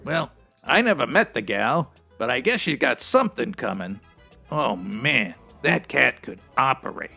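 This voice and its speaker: A man playing a slangy, wordy strip club manager with a raspy, theatrical delivery, in a comedic old-time radio detective style. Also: medium pitch, big pitch swings, a little slow, sharp tone, faint music behind, full character voice. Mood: guarded. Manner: hipster slang with florid big words, theatrical. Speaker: actor in a comedy audio drama.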